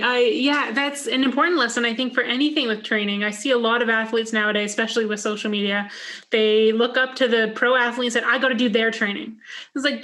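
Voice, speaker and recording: A woman's voice: fairly high pitch, a bit bright. A woman hosting a podcast, chatting casually with plenty of "like" and relating her own experiences. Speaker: podcast host. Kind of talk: casual interview chat.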